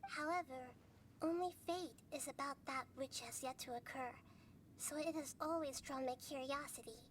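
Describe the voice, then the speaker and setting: A woman playing a gentle, wise, childlike young goddess in a video game, speaking in a measured, earnest, thoughtful way. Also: sits high, slight background noise, rough recording. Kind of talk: scripted video game voice acting.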